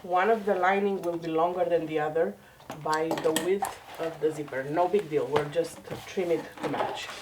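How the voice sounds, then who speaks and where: A woman's voice: low-pitched, steady tone, normal speed, distant mic, noisy background. A woman with a slight cold that makes her a bit nasal, chatting casually through a sewing tutorial at home. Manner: chatty, self-deprecating, talks while working. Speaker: sewing vlogger. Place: home craft room.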